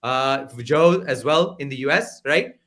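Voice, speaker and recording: A man's voice: mid-range voice, expressive, a little slow, fairly bright tone. A man from the United States, talking on a live panel discussion in a reflective, rambling way with frequent restarts.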